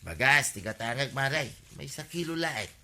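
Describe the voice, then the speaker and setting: A man's voice: medium pitch, big pitch swings, moderate pace, sharp tone, full character voice. An actor playing a chatty, cheeky village man with a Filipino accent, teasing and exclamatory in a radio comedy drama. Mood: playful, cheeky.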